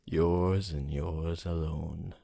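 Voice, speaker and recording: Casting: man to play romantic, devoted vampire for ASMR roleplay. Rumbling creaky bass, slow formal delivery, possessive tenderness, slight noise. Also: steady tone, mellow, dry recording, exaggerated voice. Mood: possessive, tender.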